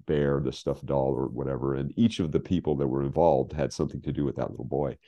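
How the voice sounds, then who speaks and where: An American man in his sixties telling stories in casual conversation, halting and emphatic, often repeating phrases for weight. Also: a bass voice, steady tone, dark tone, lo-fi sound. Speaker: guest, scriptwriter. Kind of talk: casual anecdotal storytelling in conversation. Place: home office, video call.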